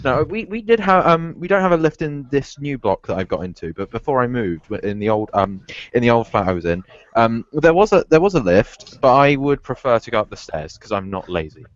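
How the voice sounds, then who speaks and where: A man with a British accent in a casual group chat, excitable and swearing, with many stammered restarts. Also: mid-range voice, a little fast, muffled recording. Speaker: podcast co-host.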